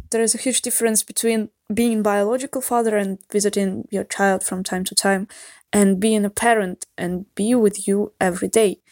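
A woman's voice: fairly high pitch, darkish tone, dry recording, studio quality. Earnest Russian-accented woman. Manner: careful, earnest non-native English. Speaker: voicemail caller. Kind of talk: recorded voicemail message.

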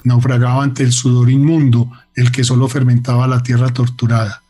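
Husky, solemn male narrator. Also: medium pitch, little pitch movement, normal speed, dark tone. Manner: solemn, literary. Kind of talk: dramatic scripted narration.

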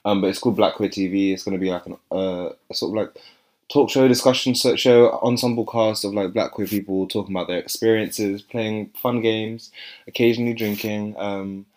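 A young man, chatting casually as a podcast co-host and telling stories with lively quoted voices. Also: lowish voice, brisk. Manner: chatty storytelling, animated when quoting others. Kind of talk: casual chat.

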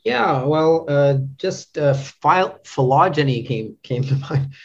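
Halting man in a dull lo-fi recording. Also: fairly high pitch, creaky. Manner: halting, thinking aloud, repeats words. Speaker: podcast guest, literary translator. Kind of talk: casual interview chat. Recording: dull, lo-fi audio.

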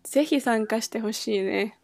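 A woman's voice: fairly high pitch, normal speed, a little mellow, no echo, faint music behind. A woman co-hosting a podcast in casual, colloquial conversation. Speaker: podcast co-host, performing musician. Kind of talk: casual chat.

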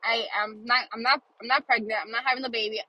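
A woman's voice: on the high side, steady tone, quick pace, muffled recording. A woman in her thirties hosting a casual monologue podcast, earnest and emphatic, repeating phrases for emphasis, sounding a little congested. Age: 30s. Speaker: podcast host.

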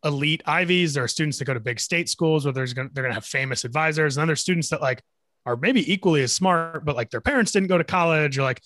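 A male co-host talks fast and thinks aloud, his questions full of "like" and restarts. His voice runs a little high, and the recording is dry. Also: fairly bright tone, dry recording. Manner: quick, filler-heavy, thinking aloud. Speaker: podcast co-host. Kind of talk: interview questions.

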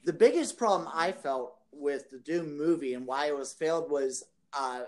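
A man with a fairly high-pitched voice co-hosts a podcast. He talks informally, laying out opinions in an explanatory way, as in a casual discussion among friends. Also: normal speed. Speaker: podcast co-host.

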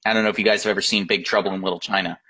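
A man's voice: low-pitched, steady tone, rapid-fire, bright, forceful tone, breathy edge, highs cut off. Rambling man chatting about movies. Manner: rambling, many fillers and restarts. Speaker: podcast co-host. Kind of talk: casual chat about films.

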